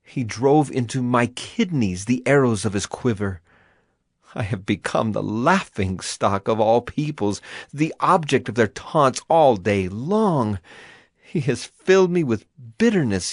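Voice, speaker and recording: An actor playing a grieving, afflicted prophet in a dramatized scripture reading, with solemn, emphatic and deliberate phrasing. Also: male voice, mid-range voice, no room sound, studio quality. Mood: anguished lament. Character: grieving, afflicted male prophet lamenting and praying.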